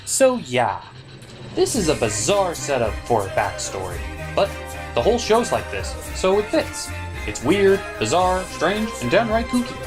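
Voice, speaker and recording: A man's voice: on the high side, wildly swooping pitch, measured pace, over music. A man narrating a scripted cartoon review as host, opinionated and emphatic.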